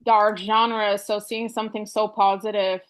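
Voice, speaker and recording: A woman's voice: medium pitch, level tone, measured pace. A woman from Bulgaria interviewing a filmmaker in a friendly, chatty way with many "like"s. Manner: friendly, lots of "like" and "you know". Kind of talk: casual interview talk.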